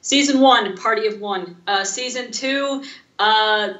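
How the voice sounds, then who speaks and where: A woman co-hosting a podcast in casual chat, blunt, sardonic and animated. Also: fairly high pitch, slow pace, reverberant, dull audio. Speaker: podcast co-host.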